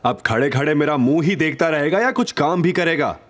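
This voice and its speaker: A man voicing a stern, gruff uncle and boss who scolds and threatens in an audio story. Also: on the high side, expressive, a little fast, a bit bright, slight background noise, voice pushed up. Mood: scolding, angry. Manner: barking, interrogating, threatening.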